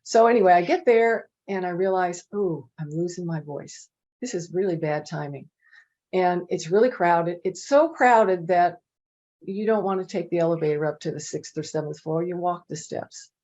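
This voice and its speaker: A woman's voice: lowish voice, normal speed. A middle-aged woman telling casual stories on a panel from her home office, chatty, with many fillers. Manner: chatty, animated, many "you know" fillers. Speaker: panel presenter. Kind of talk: casual anecdotal storytelling. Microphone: video call. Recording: dull lo-fi video call audio.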